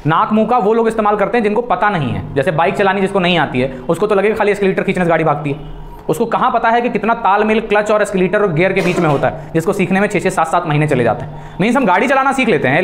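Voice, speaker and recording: A man's voice: high-pitched, a little hiss or hum. A man in his thirties with an Indian accent, instructing a class emphatically as a voice coach. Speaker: voice and spoken English coach. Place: small indoor classroom. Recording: echoey room.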